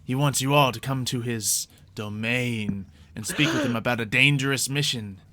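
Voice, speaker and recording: A man's voice: mid-range voice, normal speed, sharp tone. A man acting as game master, narrating a tabletop fantasy roleplay to his players.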